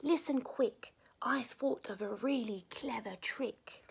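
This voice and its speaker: A woman voicing a small, clever female ladybird with a high, urgent voice. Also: steady tone, a little slow, darkish tone. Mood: secretive.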